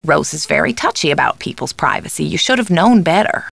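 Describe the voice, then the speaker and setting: A woman playing a concerned, gently chiding older confidante in a video game. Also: deep voice, animated, brisk, creaky, no room sound, exaggerated voice. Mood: mildly chiding. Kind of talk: scripted video game voice acting.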